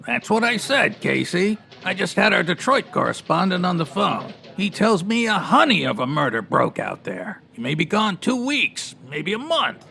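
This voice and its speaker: A brusque city editor in a radio drama. The voice is heavily gravelled and sharp, pitched high for a man, handing out clipped commands with no patience. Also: very animated, moderate pace, exaggerated voice. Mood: brusque, commanding. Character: brusque, bossy male newspaper city editor. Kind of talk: old-time radio drama acting.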